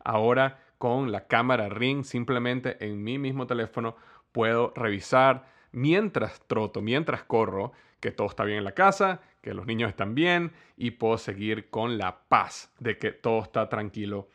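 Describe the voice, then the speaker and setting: Guy hosting a podcast, eager and chatty, lots of "eh" fillers, mid pitch. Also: moderate pace, fairly bright tone. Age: young adult to middle-aged, has a son. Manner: eager, friendly, with many "eh" fillers. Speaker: podcast host. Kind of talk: podcast intro and interview.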